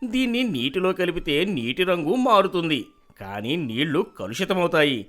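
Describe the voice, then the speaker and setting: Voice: medium pitch, sing-song, moderate pace, a bit bright. A voice actor playing a stern, righteous village headman who scolds and lectures firmly in a cartoon story. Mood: scolding. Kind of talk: animated cartoon voice acting.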